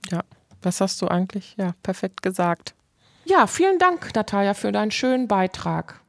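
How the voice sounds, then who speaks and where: A woman's voice: medium pitch, sing-song, moderate pace, vocal fry, no echo, studio quality. A woman in her forties hosting and moderating an interview, friendly and encouraging, affirming her guests with short interjections. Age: 40s. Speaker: host, project leader.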